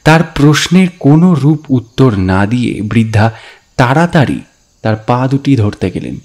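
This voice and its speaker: A man narrating a scripted story dramatically, in a deliberate, expressive storytelling manner. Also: medium pitch. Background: background music.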